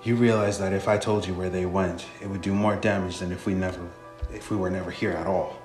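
A man's voice: sits low, fairly even intonation, fairly quick, lightly acted. A man reading aloud the lines of a defiant, well-spoken raider grunt in a visual novel. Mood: resigned defiance. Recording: roomy echo, faint music behind.

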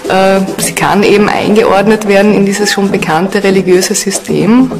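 A woman's voice: medium pitch, steady tone, moderate pace, music in the background. A woman giving an explanatory answer as an interviewed expert.

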